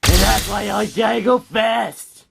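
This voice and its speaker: Cocky hedgehog hero, played by a man: high, loud, taunting, spoiling for a fight. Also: measured pace, vocal fry, noisy background, heavily acted. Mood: boastful, angry. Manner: taunting, exclamatory.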